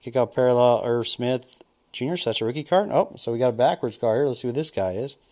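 A man talks casually while sorting through freshly opened trading card packs, calling out names and musing on their worth. His voice sits at an ordinary middle pitch, loose and unhurried. It sounds like a home camera mic picking him up at a tabletop. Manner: relaxed, thinking aloud, naming cards as he flips. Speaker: card collector opening packs. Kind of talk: casual unboxing commentary.

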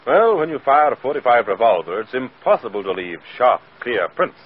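An actor playing a cheerful, self-assured gentleman sleuth, affectionate and suave, in a 1940s radio drama. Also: medium pitch, sing-song, a little fast, slightly rough. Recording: muffled, faintly noisy old radio.

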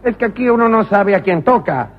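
A man's voice: high-pitched, expressive, fairly quick, a little hiss or hum. A man voicing a bumbling male inspector turned prisoner, hesitant and stammering, in a comic radio play. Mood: flustered. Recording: dull lo-fi radio recording.

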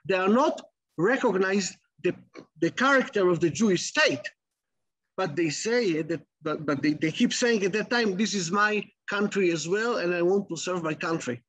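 A middle-aged man with an Israeli accent giving an online lecture in halting English, full of repeats and restarts, over a video call. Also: sits high, a bit bright, husky. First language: Hebrew. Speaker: guest lecturer.

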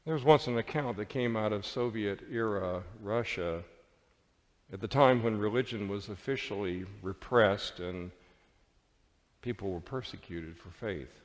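Male preacher, lowish voice, measured dramatic sermon delivery, big church reverb. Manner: deliberate, dramatic phrasing with pauses. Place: large church sanctuary with congregation.

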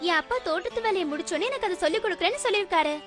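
Young cartoon girl, bright-minded and reassuring, very high voice, loud music underneath. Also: very fast. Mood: reassuring, confident. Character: clever, reassuring young girl storyteller, a dutiful daughter. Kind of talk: cartoon dubbing.

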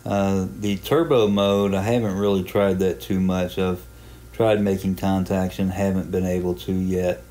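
A man giving a casual, unhurried tutorial narration, explaining a hobby software program step by step in plain terms. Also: sits low, little pitch movement. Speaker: amateur radio hobbyist presenting a software walkthrough.

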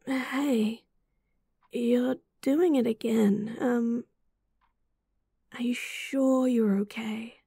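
A woman with an Australian accent playing a caring, teasing young student best friend in a roleplay audio, soft and breathy. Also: a little high, fairly even intonation, very slow, long pauses, no room sound, clean studio sound, heavily acted. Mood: gently concerned. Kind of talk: roleplay audio acting, intimate and softly spoken.

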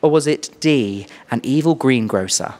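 A young adult man with a British accent hosting a playful quiz interview in an animated, exclaiming way into a handheld microphone. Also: medium pitch, normal speed. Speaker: host, quizmaster. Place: small furnished indoor room.

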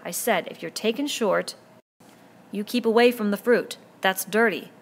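A woman's voice: mid-range voice, moderate pace, voice pushed up, close to an everyday voice. A woman voicing an earnest boy chief. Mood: urgent. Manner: pleading, insistent speechmaking.

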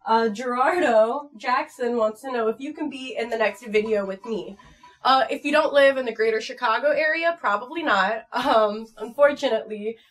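A woman's voice: on the high side, normal speed, a bit bright. An American woman in her twenties chatting casually to viewers in a playful, frank and slightly crude way. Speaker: channel performer reading viewer comments. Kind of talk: casual vlog chat. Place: small home room at computer desk.

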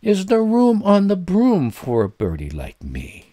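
A man's voice: high-pitched, huge pitch swings, measured pace, mellow, full character voice. A man voicing a polite, hopeful female green bird in a children's storybook, in sing-song rhyme.

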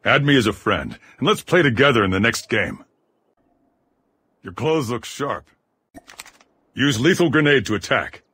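An actor voicing a gruff, aggressive soldier giving terse, commanding squad callouts in a military video game, with a rough, husky voice. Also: male voice, mid-range voice, animated, measured pace, long pauses, bright, forceful tone, exaggerated voice. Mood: calm commanding. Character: gruff armored male soldier, aggressive squad mate in combat. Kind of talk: video game combat voice lines.

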